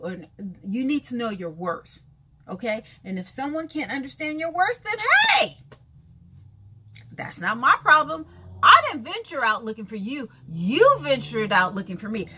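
A 51-year-old woman vlogging a sassy, emphatic rant from a bright room with books, repeating lines for effect and calling listeners baby and honey, gesturing as she talks. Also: high-pitched, breathy edge. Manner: lively hand gestures while talking. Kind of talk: casual talking-head rant. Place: bright room with shelves of books by a window, indoors.

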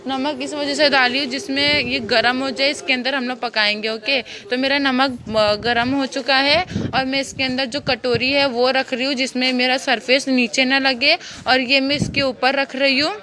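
Bright-voiced woman chatting through a cooking demo. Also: high-pitched, steady tone, moderate pace, few pauses, a little hiss or hum. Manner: chatty, explaining as she works, many fillers. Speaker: cooking vlogger. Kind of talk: casual step-by-step cooking demo. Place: home kitchen. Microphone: phone camera filming hands from above.

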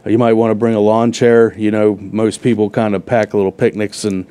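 Low, mellow-voiced man explaining plainly. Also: flat delivery, normal speed, clear, resonant tone. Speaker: event organizer guest. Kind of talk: casual interview chat. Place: TV studio set.

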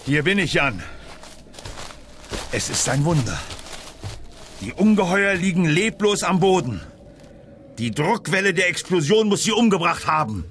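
An actor playing a commanding, excitable army general, barking urgently in a dramatic radio play. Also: male voice, sits high, measured pace, pauses often, heavily acted. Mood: shaken relief. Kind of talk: German children's sci-fi radio play acting. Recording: loud aircraft noise behind the voice.

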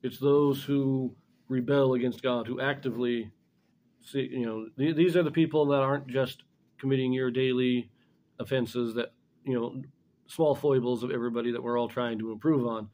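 A middle-aged man giving an informal religious lesson as a scripture commentator, explaining things deliberately and correcting himself as he goes. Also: medium pitch, steady tone, darkish tone. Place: small indoor room in front of a blackboard.

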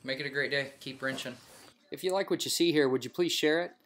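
A man's voice: medium pitch, fast, a bit bright, slight background noise. An American man in his forties speaking as a mechanic giving an informal hands-on tutorial, conversational and instructive, often checking in with questions. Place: home garage workshop.

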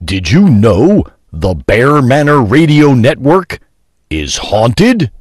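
A man's voice: mid-range voice, big pitch swings, slow pace, a little mellow, dry recording, studio quality. A man announcing in a dramatic, scripted promo style.

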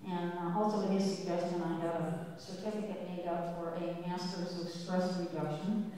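A woman's voice: fairly low pitch, mellow. Older woman in an echoey church. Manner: chatty, wry, unhurried with many ums. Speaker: church organist. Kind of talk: speech to a church audience. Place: large church sanctuary with balcony. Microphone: handheld mic. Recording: echoey church hall, distant camera.

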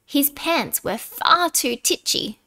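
A woman reading a children's picture book aloud in an expressive, deliberate way, dramatic on key words. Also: high voice. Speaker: storybook reader.

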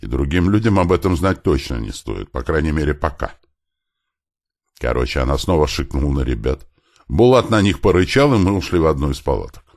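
A man narrates an audiobook story in an extremely deep voice with a gravelly creak. His intonation moves widely as he reads first-person fiction, lightly voicing bits of dialogue along the way. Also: sing-song, a little fast, a little mellow.

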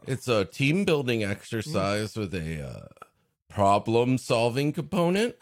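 A man's voice: medium pitch, very animated, unhurried, no echo, studio quality. A man co-hosting a comedy podcast, improvising jokey, mock-serious asides in casual chat.

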